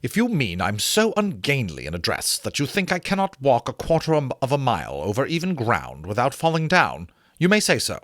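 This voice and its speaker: A man's voice: mid-range voice, wildly swooping pitch, moderate pace, few pauses, a bit bright, dry recording, clean studio sound, voice pushed up. A man voicing a blunt, hearty, teasing woman captain in a storybook reading. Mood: brash, teasing.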